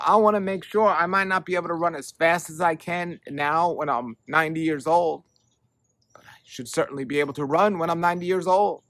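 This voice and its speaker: A man's voice: on the high side, a bit bright, no echo. A middle-aged man hosting a health show, giving an earnest motivational talk that urges and repeats for emphasis. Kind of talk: motivational monologue to camera.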